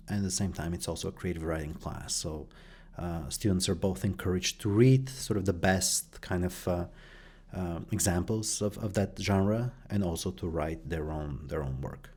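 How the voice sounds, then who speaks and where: A middle-aged man from Bulgaria answering interview questions about his work, pausing often with fillers and restarts. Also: sits low, mellow. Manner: hesitant, many fillers and restarts. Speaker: guest, journalist and professor.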